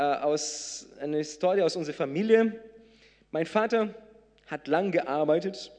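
Man, native Portuguese speaker, preacher, conversational sermon, fillers and restarts, a bit high-pitched, long church-hall reverb. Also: a little mellow. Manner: conversational, many fillers and restarts, rising to emphatic. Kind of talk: sermon with a personal story. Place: church hall before a congregation.

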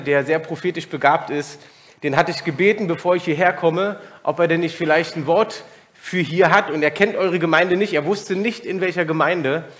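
Guest preacher, German man in his thirties, slightly high voice, loose and chatty with a congregation. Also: a bit bright. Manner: informal and personal, many filler sounds. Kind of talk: church talk to a congregation.

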